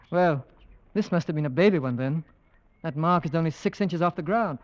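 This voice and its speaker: A man playing a brisk, skeptical English gentleman with an English accent, clipped and debonair, in an old radio drama. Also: fairly high pitch, lo-fi sound, natural voice. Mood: keen, intent. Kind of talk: 1940s-50s radio drama acting.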